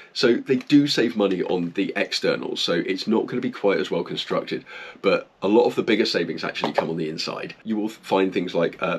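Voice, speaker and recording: A man talks to camera in a low, slightly husky voice, congested and nasal from a heavy cold. He chats enthusiastically and loosely, with plenty of casual fillers as he reviews his kit. The tone is relaxed and personable. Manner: chatty and enthusiastic, many "like" fillers. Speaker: airsoft channel host.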